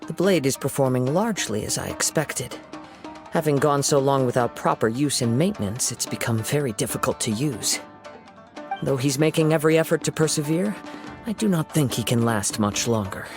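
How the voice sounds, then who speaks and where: A man playing a calm, courteous young wandering swordsman in a video game, speaking in a measured, formal, composed way. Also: medium pitch, natural voice. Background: game music.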